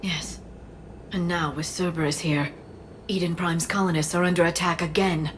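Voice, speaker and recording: A woman voicing a grave, thoughtful alien scholar in a video game, soft and measured. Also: lowish voice, steady tone, a little hiss or hum, natural voice. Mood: solemn.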